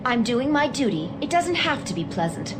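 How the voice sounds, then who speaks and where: A woman's voice: on the high side, sing-song, moderate pace, background noise. A woman playing an earnest, hot-tempered young rebel in an anime audio drama, defensive and easily flustered. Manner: indignant, flustered, insistent. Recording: music behind the voice.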